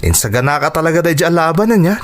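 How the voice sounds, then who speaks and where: A man's voice: fairly high pitch, lively intonation, very fast, slight background noise, natural voice. A man playing a determined, grateful young boxer in a radio drama, speaking earnestly and expressively. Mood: grateful, relieved.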